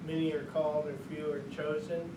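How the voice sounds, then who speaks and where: A man in the audience asking a teasing follow-up question with a deadpan setup. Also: on the high side, a little fast. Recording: audience noise around.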